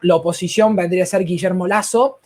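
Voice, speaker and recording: Man with an argentine accent, high voice, rambling host, lots of fillers. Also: fairly quick, darkish tone. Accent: Argentine Spanish. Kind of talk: casual interview talk.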